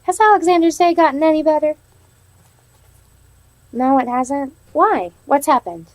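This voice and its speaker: A woman's voice: high voice, unhurried, dry recording, low-quality recording. A woman teaching young children in a read-aloud lesson, prompting them with simple questions and reading in an instructional way. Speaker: elementary teacher.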